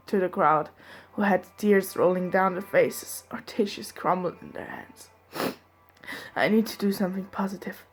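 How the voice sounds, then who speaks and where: A young woman reading fanfiction aloud in the first person, sometimes giggling and breaking off to joke, with faint music behind her. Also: mid-range voice. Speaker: fanfiction reader.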